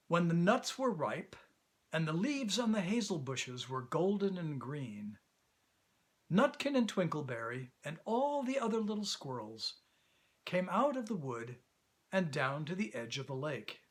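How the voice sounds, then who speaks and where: A man reading a children's story as an actor, in expressive, deliberate storybook narration. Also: on the high side, breathy edge.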